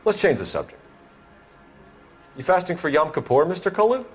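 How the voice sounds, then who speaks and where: An actor playing an earnest, stern young police detective in a video game, speaking formally and forcefully. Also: male voice, high voice, sing-song, moderate pace, soft background music. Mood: provoking. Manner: formal, clipped, forceful in questioning. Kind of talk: video game dramatic acting.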